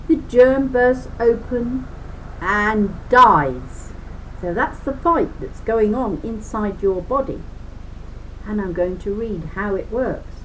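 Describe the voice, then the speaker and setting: A woman's voice: mid-range voice, a little hiss or hum, rough recording. A woman reading a children's book aloud as a lesson, explaining as she goes with chatty asides and stressing key words.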